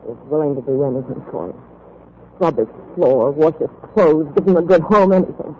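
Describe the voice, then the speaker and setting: A woman's voice: low-pitched, brisk, natural voice. A woman playing a jealous, desperate lover in an emotional, overwrought 1940s radio melodrama style. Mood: anguished. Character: jealous, desperate woman in love, distraught and accusing. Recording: muffled, hissy 1940s radio recording.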